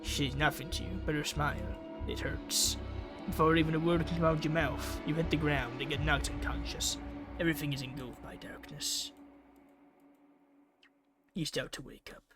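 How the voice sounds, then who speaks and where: A man's voice: on the high side, steady tone, moderate pace, over music. A man reading a visual novel aloud for a gaming video in a dramatic, theatrical way. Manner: theatrical, acts out the dialogue.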